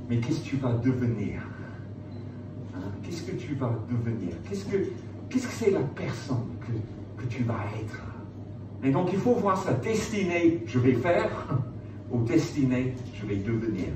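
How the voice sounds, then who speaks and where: A middle-aged man preaching informally to young listeners, conversational and emphatic, with rhetorical questions and repeated phrases. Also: mid-range voice. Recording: echoey room, distant mic, noticeable background noise.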